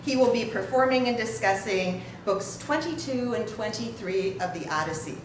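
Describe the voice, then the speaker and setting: A woman's voice: on the high side, expressive, moderate pace. A woman giving a prepared, formal introduction speech to an audience, with careful phrasing. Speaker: event introducer. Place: indoor hall with an audience. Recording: very echoey hall, distant, noisy.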